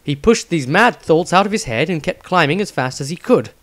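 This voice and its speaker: A man's voice: a little high, lively intonation, fairly quick, a bit bright. A man reading a scripted fantasy adventure story as a dramatic narrator.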